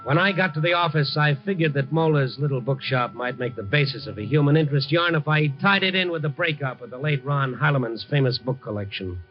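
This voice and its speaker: A man's voice: on the high side, lively intonation, brisk, hardly any pauses, faint music behind, lightly acted. Man acting a hard-boiled American reporter, muffled vintage sound. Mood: tense narration. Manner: wry, punchy, dramatic. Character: brisk, wry, streetwise male newspaper reporter. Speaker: lead actor and narrator. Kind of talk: 1950s radio drama, hard-boiled narration. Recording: muffled vintage 1950 radio recording.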